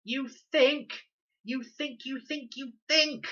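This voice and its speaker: A man voicing an anxious, sharp-tongued, indignant young girl in a storybook, with a sarcastic, incredulous manner. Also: extremely high pitch, steady tone, slow, dull audio, voice pushed up, exaggerated voice.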